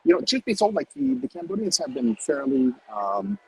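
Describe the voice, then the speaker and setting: A man's voice: sits high, wildly swooping pitch, normal speed, creaky, a little mellow, poor audio. A man from the United States, a chef, chatting easygoingly in an interview over a remote call, with lots of fillers. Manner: easygoing, self-deprecating, many fillers. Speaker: chef and guest. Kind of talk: casual interview chat. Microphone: remote call on the move.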